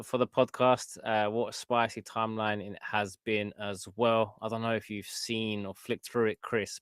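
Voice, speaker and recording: A man's voice: on the low side, fairly bright tone, smooth, no room sound. A man co-hosting a podcast in casual chat, enthusiastic but hesitant, with many fillers. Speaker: co-host.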